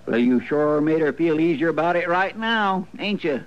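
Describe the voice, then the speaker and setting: A man's voice: fairly high pitch, expressive, normal speed, lightly acted. A man acting in an old-time radio western as a loyal, indignant cowboy sidekick with a rural Western drawl. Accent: rural American Western drawl. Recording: dull old radio recording.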